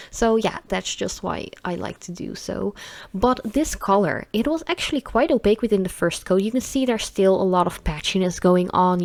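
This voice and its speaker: A Dutch woman, speaking English as a second language, rambling chattily through a nail polish vlog with many ums and soft laughs. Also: medium pitch, no room sound. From Netherlands.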